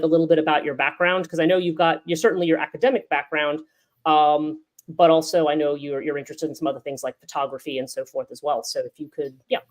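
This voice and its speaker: A woman moderating and hosting a video call, chatty and rambling, often restarting her sentences. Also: low voice. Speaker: host and moderator.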